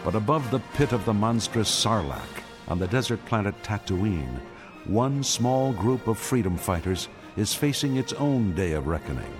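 Male narrator, epic radio drama: solemn, deliberate, slightly low pitch, clear musical score behind. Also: lively intonation, music in the background. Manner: grave, portentous, measured.

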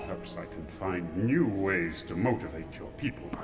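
An actor playing a cold armored dark lord in a radio drama, deep and booming, speaking in a measured, formal, threatening way. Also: male voice, lively intonation. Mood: cold menace. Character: menacing armored dark lord, cold, commanding, half machine. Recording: long hall reverb, orchestral music underneath.

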